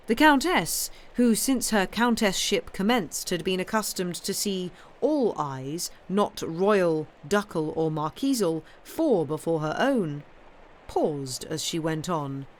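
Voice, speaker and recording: A woman narrating a Victorian novel as an audiobook reader, with expressive, measured storytelling and emphatic phrasing. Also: fairly low pitch, a little hiss or hum. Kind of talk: literary narration of a Victorian novel, reading aloud.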